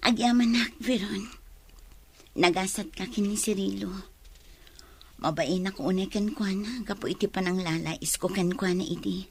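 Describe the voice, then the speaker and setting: Husky, remorseful young woman. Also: mid-range voice, moderate pace. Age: young adult. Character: remorseful pregnant young woman, humbly apologizing. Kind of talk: radio drama acting.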